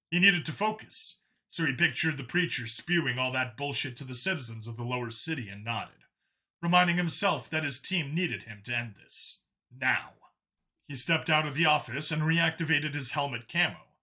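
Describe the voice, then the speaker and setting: A man's voice: fairly high pitch, pushed and bright. A man reading science fiction as a dramatic scripted narration. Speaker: story narrator.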